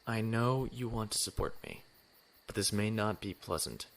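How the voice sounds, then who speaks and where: A man's voice: on the low side, moderate pace, lightly acted. An actor playing a decorated naval lieutenant in his twenties in a science fiction audio drama, formal, restrained and proud. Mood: firm, reserved. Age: 20s. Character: young decorated space navy lieutenant, formal, dutiful, stubbornly proud.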